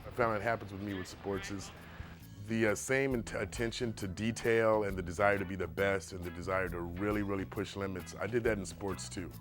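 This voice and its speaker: A middle-aged man who paints shoes, talking about his work in a documentary interview in a relaxed, rambling way with many fillers. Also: sits low. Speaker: shoe painter.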